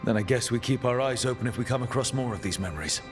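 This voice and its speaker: An actor playing a calm, principled rebel hero in a video game cutscene. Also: male voice, mid-range voice, quick pace, natural voice. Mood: measured, resolute. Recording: music behind the voice.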